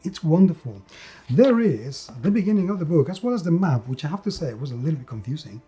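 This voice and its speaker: A man with a fairly high-pitched but dark, relaxed voice reviews a tabletop game. He speaks off the cuff with enthusiasm, stressing his points by repeating words. Faint music plays behind him. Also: fast talker. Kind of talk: enthusiastic unscripted review commentary.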